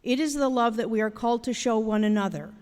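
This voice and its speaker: A woman reading a devotional reflection in a measured, steady way. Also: a little high, clean tone. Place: church sanctuary. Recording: long hall reverb in a church.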